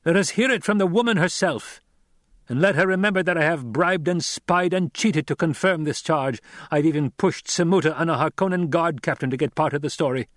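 A man voicing an enraged, loyal warrior in an audiobook, with a snarling, menacing, forceful manner. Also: a little high, brisk, dry recording, clean studio sound, pitched above the performer's own voice, natural voice. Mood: angry, demanding. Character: enraged, vengeful loyal warrior holding a hostage. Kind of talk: audiobook character acting.

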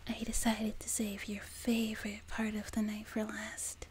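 A woman playing a sweet, nurturing young demon girl in an ASMR roleplay, speaking in a soft, breathy whisper with a gentle, reassuring manner. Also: mid-range voice, completely flat delivery, lightly acted. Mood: tender, gently teasing. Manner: gentle, reassuring, slow and unhurried. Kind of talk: whispered ASMR roleplay, intimate personal attention. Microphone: close binaural microphone. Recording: noticeable background noise, close binaural mic.

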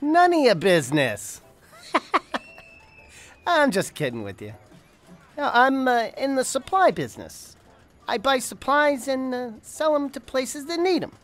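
A woman's voice: high voice, wildly swooping pitch, unhurried, long pauses, heavily acted. A woman playing a breezy, streetwise businessman in a 1920s-style radio drama, slangy and wisecracking, friendly but guarded. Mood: cagey, joking.

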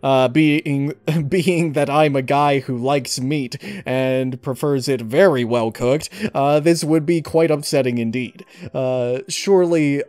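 A man giving casual interview answers in a halting, wordy way, often amused. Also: a little high, darkish tone, no echo. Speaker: interviewee, audio story reader. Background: music behind the voice.

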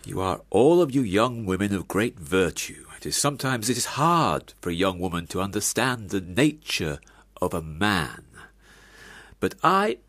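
An actor in a radio play as an elderly clergyman father, gentle, indulgent and world-weary, speaking in a measured paternal way. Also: deep voice, no echo, full character voice.

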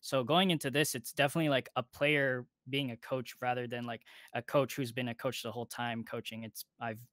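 A man's voice: medium pitch, fairly even intonation, brisk, no room sound, studio quality. A man who coaches an esports team, answering interview questions thoughtfully and critically about himself. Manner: thoughtful, self-critical, says he is loud. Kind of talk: video call interview.

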